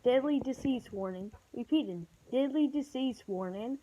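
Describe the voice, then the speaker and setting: A woman reading a homemade mock emergency broadcast announcement in a halting, stumbling delivery. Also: fairly high pitch, animated, warm tone. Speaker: vlogger acting as emergency alert announcer. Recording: dull, muffled home recording with noise.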